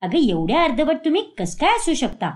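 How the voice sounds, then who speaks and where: Exasperated cartoon mother-in-law, voiced by a woman storyteller, reproachful, with animated swings in pitch. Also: a little fast. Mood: exasperated scolding. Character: scolding, exasperated mother-in-law of a village household.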